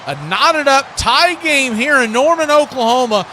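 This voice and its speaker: A man's voice: very high voice, animated, moderate pace, pushed and bright, slight background noise. A man giving live play-by-play commentary on a football game.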